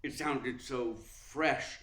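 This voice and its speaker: An actor playing a suave, pompous theatre critic in a radio play, articulate and slyly needling. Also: male voice, medium pitch, steady tone, very slow, fairly bright tone. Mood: grandiloquent. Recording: roomy, echoing sound.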